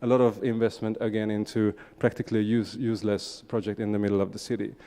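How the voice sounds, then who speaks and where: Male conference speaker, Hungarian accent, clean smooth voice at medium pitch, measured hesitant phrasing, long hall reverb. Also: little pitch movement, dark tone. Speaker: conference speaker, urban regeneration practitioner. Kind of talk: conference presentation, semi-spontaneous. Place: large indoor conference hall.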